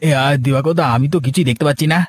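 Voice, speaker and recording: A man's voice: a little high, fast, husky, no echo. An actor playing a nervous, guilty village henchman in a cartoon, hesitant and evasive. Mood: uneasy, evasive. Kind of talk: cartoon drama acting.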